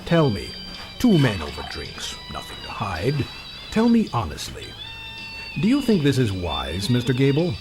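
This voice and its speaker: An actor playing a sinister, silky magician's mechanic in an audio drama, speaking in a formal, ominous, insinuating manner. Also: male voice, mid-range voice, wildly swooping pitch, unhurried, music in the background.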